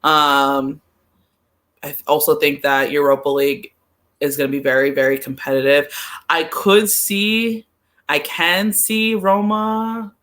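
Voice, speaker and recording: A woman's voice: sits low, fairly even intonation, measured pace, a bit bright. A woman in a casual sports chat as a podcast guest, giving her opinions informally and hesitantly, often repeating her phrases. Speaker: podcast guest, soccer pundit.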